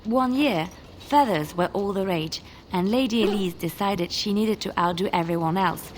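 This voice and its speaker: Video game companion: gentle, affectionate young woman played by an actress, earnest and rambling, mid-range voice, faint noise behind. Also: medium pitch, normal speed, natural voice, low-quality recording. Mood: admiring, chatty. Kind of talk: video game dialogue acting.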